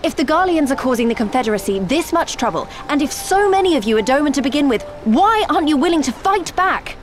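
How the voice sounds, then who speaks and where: An actress playing an earnest, indignant freedom fighter in a video game, with an impassioned, challenging delivery. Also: female voice, high-pitched, very animated, fast, slight background noise. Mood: indignant, pleading.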